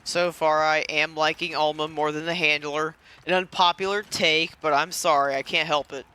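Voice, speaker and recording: A man chatting casually through a video game playthrough. His voice is fairly high, bright and very clear, and he rambles in a self-deprecating way. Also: little pitch movement, moderate pace. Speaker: gaming video creator.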